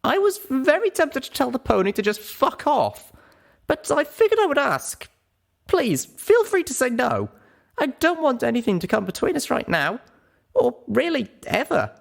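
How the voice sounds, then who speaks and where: An earnest young pony mare from a storybook adventure, acted out by a man, speaks in a voice pushed far up high. She is bashful and hesitant, with a lively swing in pitch. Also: normal speed, gravelly, exaggerated voice. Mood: hesitant, awkward.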